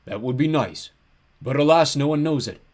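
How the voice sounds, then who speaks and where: Man voicing a booming, mournful giant ram god. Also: normal speed, a little mellow, lightly acted. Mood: forlorn. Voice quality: booming, bleating. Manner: mournful, mildly courteous while threatening. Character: melancholy giant ram-headed god, lonely ancient gatekeeper.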